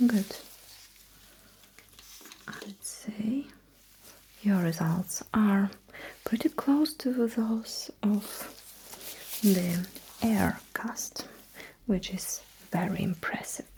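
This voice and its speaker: A woman whispering in an ASMR roleplay as a technician, giving gentle, slow instructions in short phrases with a breathy, mostly unvoiced voice. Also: mid-range voice, poor audio. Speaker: ASMR performer role-playing a sci-fi technician running calibration tests.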